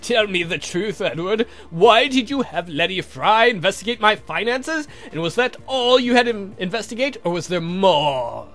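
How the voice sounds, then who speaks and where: A man voicing a sardonic, theatrical vampire count for a visual novel game, mocking and campy in a drawn-out way. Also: mid-range voice, animated, a bit bright, soft background music. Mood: stern, probing.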